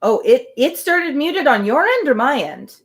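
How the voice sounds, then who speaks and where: A woman's voice: high voice, very animated, a little slow. A woman hosting a live reading of a novel, reading aloud with chatty, wry asides. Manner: chatty, wry commentary between passages.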